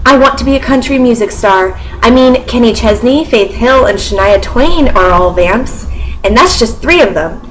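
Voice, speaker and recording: A woman's voice: on the high side, animated, moderate pace, a little hiss or hum, rough recording. A young woman from the US Midwest with a mostly neutral American accent, casually reviewing a book chapter with sarcastic asides, fillers and frequent laughter. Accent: mostly neutral American, sometimes Southern.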